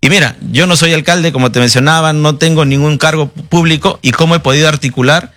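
A man's voice: a little high, steady tone, a little fast, fairly bright tone. A man in his thirties answers interview questions as a candidate. He talks in an earnest, self-assured way, building his case point by point. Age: 30s. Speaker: mayoral candidate.

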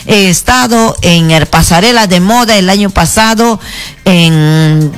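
Earnest Shipibo-accented woman, halting speech. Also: medium pitch, clear, resonant tone, soft background music. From Peru. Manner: earnest, halting, frequent "¿no?" tags and restarts. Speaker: artisan association president, guest. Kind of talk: radio interview.

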